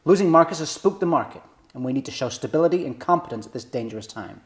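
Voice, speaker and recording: A man's voice: on the high side, lively intonation. A man playing a 1980s company chairman, formally addressing his board in a drama table read. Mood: formal, grave. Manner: formal, measured speech-making.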